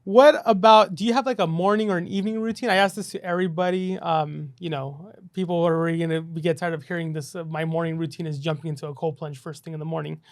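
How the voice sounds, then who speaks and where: A man's voice: a little high, fairly even intonation, quick pace, hardly any pauses, dry recording, clean studio sound. Upbeat chatty male podcast host. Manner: upbeat, filler-heavy, says "you know" and "right" a lot. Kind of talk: casual podcast chat.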